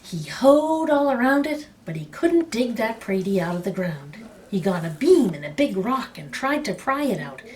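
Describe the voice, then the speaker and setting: A woman narrates a children's story in a middling register with very lively intonation. She stresses key words dramatically, as a storyteller reading aloud. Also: mid-range voice, moderate pace, hardly any pauses. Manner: dramatic, emphatic phrasing. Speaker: storyteller reading a picture book.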